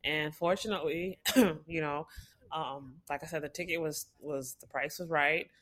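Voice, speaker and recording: A woman's voice: low-pitched, unhurried, fairly bright tone. A young adult woman co-hosting a podcast, telling a story in a chatty way with lots of fillers. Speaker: podcast co-host. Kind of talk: casual chat.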